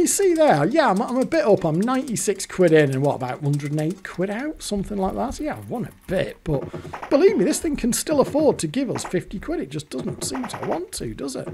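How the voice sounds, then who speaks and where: A hoarse British man giving rambling, exasperated commentary while playing a fruit machine, swearing often. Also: sits high. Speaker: video host.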